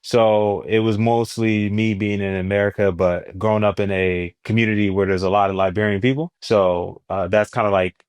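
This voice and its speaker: A young man from New Jersey with a Jersey accent, a stand-up comedian talking casually as an interview guest in a relaxed, slangy way. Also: lowish voice, normal speed, hardly any pauses, clean tone, dry recording. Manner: laid-back, slangy, animated when telling stories.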